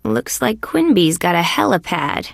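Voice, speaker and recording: A woman's voice: mid-range voice, very animated, unhurried, vocal fry, no echo, exaggerated voice. A wisecracking insect police detective from a video game. She speaks in a middle register with a hard-boiled, sardonic edge. The sound is very dry, with no room around the voice. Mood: wry. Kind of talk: scripted game dialogue, detective banter.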